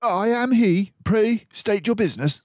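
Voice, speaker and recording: Here is a man reading aloud as a storybook mouse detective, confident and formal. The voice sits high above a normal man's and swings widely as it lectures with precise self-assurance. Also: expressive, unhurried, no echo. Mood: self-assured, brisk.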